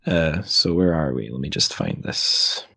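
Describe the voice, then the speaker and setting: A man's voice: extremely low pitch, lively intonation, unhurried, vocal fry, sharp tone, husky, no room sound. A man hosting a literary podcast, talking casually and reading passages from a book. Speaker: podcast host.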